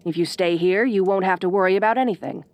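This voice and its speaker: A woman playing a haughty, bossy ice queen in an audio roleplay, speaking with imperious, deliberate phrasing. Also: medium pitch, a little mellow, lightly acted. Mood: cold, reflective. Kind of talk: audio roleplay addressed to the listener. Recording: roomy, echoing voice recording.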